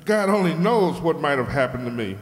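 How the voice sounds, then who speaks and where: A man's voice: a little high, big pitch swings, fairly quick. Man acting swoopy-voiced aggrieved wrestler contestant. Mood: insistent. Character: aggrieved male professional wrestler, quiz contestant. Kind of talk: old-time radio quiz show re-creation. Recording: reverberant hall.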